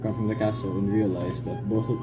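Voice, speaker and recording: A man's voice: lowish voice, little pitch movement, brisk, over loud music. A man narrating a scripted story for a puppet show, reading aloud with occasional stumbles. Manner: stumbling read-aloud delivery.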